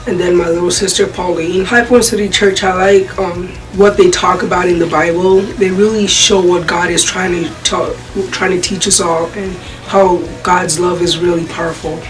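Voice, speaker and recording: Young woman, flat delivery, distant mic, personal testimonial. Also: fairly low pitch, normal speed, few pauses. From Kenya. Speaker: church member giving testimonial. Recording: distant mic, faint music.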